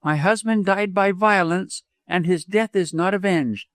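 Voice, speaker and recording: Man voicing a proud, high-pitched young widow. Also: measured pace, a bit bright, no room sound, studio quality. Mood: resolute, agitated. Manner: emphatic, imperious. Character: proud, agitated young widow, wilful and quick-tempered. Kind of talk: dramatized audiobook reading.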